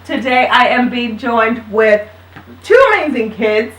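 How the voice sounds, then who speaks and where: A woman's voice: a little high, unhurried, fairly bright tone, a little hiss or hum. A woman in her thirties hosting a casual family chat show in an upbeat, chatty way. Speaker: host. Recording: roomy sound from a distant mic.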